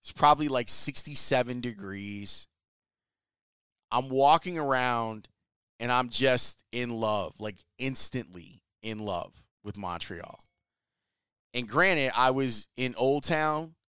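A man's voice: medium pitch, slow pace, pushed and bright, smooth, dry recording, clean studio sound. A man casually telling a travel story in a chatty, enthusiastic way, using slang. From Chicago, US. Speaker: sports reporter.

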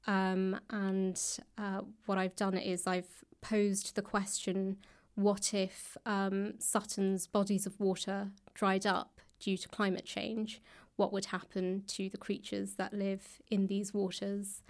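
A woman's voice: mid-range voice, little pitch movement, slow. A woman speaks as an interview guest with a mid-range voice. She explains things thoughtfully in a natural, conversational way, working through her points as she talks. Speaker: interview guest, author and actor.

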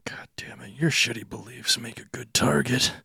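A man playing an exasperated American book publisher in a comedy sketch, stern and scolding in a breathy, hoarse half-whisper. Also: mid-range voice, big pitch swings, slow pace, a bit bright, full character voice.

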